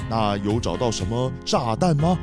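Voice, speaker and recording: A man's voice: on the low side, sing-song, normal speed, music in the background. An actor playing a jovial, reassuring city mayor who addresses his guests like a grand host in a children's mystery audio drama. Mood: firm, reassuring.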